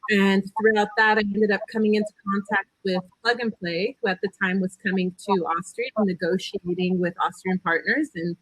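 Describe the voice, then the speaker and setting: A woman's voice: medium pitch, steady tone, fairly quick, few pauses, husky, dry recording, rough recording. Chatty American woman panelist, dry sound. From California. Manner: chatty, hesitant with many fillers. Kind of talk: casual panel talk. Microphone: video call.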